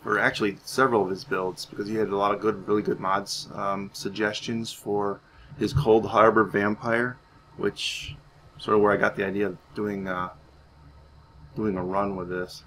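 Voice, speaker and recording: A man's voice: on the low side, flat delivery, normal speed, fairly bright tone, low-quality recording. A man giving casual gameplay commentary, rambling and thinking aloud as he goes. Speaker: gaming channel host. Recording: faint background noise.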